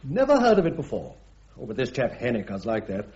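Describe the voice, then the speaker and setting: An actor playing a brash, opinionated British army colonel in a radio drama, brisk and blunt. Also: male voice, medium pitch, huge pitch swings, darkish tone, dull audio. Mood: blunt, offhand.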